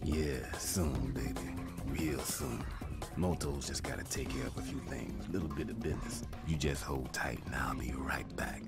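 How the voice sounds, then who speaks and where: A man playing a smooth, vain, flirtatious big hippo in a video game, crooning and boasting suavely. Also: rumbling low, moderate pace, over loud music. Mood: suave evasive. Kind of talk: video game character acting.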